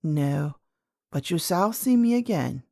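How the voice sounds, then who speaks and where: Ancient storybook grandmother queen, played by a woman: low, unhurried, gentle, enigmatic, softly creaky. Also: no room sound, clean studio sound, heavily acted. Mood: calm, mysterious. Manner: calm, measured, kindly, enigmatic. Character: very old, gentle, mysterious great-great-grandmother queen. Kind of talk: storybook character voice.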